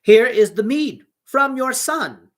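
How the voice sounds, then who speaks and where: A man voicing a rich, hot-tempered male innkeeper who talks loudly and pleads in panic. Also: medium pitch, expressive, brisk, lightly acted. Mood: shouting. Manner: loud, frantic, pleading.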